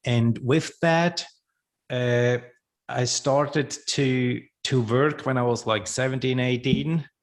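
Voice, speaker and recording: A middle-aged man from Switzerland, a podcast guest, speaking slowly and haltingly with many restarts; his is not English. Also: mid-range voice. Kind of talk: podcast interview.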